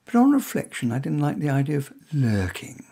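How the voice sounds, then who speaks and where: A man's voice: fairly high pitch, very animated, fairly quick, vocal fry, warm tone, husky. A man reading his own short story in the first person, wry, hesitant and self-deprecating.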